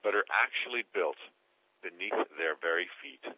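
A man slowly reading an inspirational passage in a solemn, dreamy manner. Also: sits low, lively intonation, dry recording, phone-quality sound. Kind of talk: slow scripted narration.

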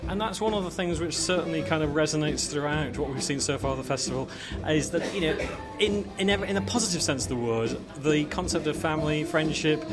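Quick-talking effusive male radio host outdoors. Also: on the high side. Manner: effusive, wordy, flowery phrasing. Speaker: radio host and roving interviewer. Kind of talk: live outdoor broadcast interviewing. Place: outdoor showground at a truck festival. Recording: outdoor live event with music behind.

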